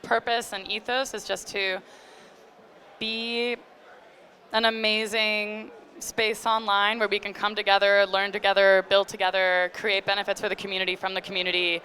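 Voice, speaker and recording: A woman's voice: medium pitch, little pitch movement, normal speed, bright, forceful tone. A woman from Egypt speaking informally as a panelist, addressing an audience through a stage microphone. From grew up in Egypt. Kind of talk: informal self-introduction to an audience. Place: live stage event. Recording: faint background noise, live event sound.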